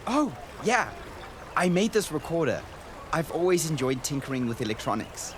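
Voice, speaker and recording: A man playing an earnest, wonder-struck boy in a radio drama, speaking gently and descriptively. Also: on the high side, sing-song, normal speed. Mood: friendly, eager. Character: earnest, wonder-struck boy recording a journey for his sister. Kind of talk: radio drama narration and dialogue. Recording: noisy outdoor ambience behind the voice.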